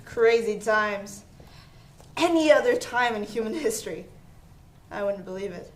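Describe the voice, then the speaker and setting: A woman's voice: medium pitch, animated, a little slow, close to an everyday voice. A woman student actor in a stage play, playing a skeptical companion who dryly challenges and needles a friend. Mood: bemused. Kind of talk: stage play acting in a one-act play. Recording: echoey theater room, faint noise.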